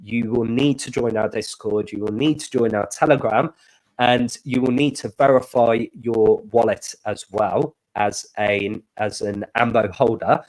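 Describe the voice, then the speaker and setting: A man co-hosting a live stream in casual chat, his voice oddly high and squeaky from a glitch, with a blocked nose, making cheerful, rambling announcements. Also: steady tone, a little slow, darkish tone, rough recording. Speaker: stream co-host. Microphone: desk microphone.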